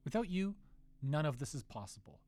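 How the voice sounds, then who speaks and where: A man's voice: a little high, big pitch swings, a little fast, darkish tone, dry recording. A man hosting an audio drama production, giving a friendly scripted outro with thanks and credits. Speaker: producer and show host. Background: guitar music.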